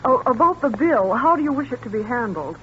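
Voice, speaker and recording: A woman's voice: a little high, big pitch swings, brisk. A woman playing a polite, businesslike clerk in a 1940s radio drama. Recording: muffled, noisy 1940s radio recording.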